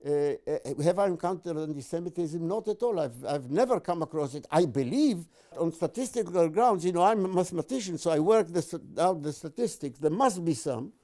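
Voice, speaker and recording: An elderly man in his eighties, from Palestine, gives personal testimony in a mellow, fairly high voice. He is emphatic, often stumbling and restarting as he builds to his point. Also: lively intonation, brisk, few pauses. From born and raised in Palestine. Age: 80s.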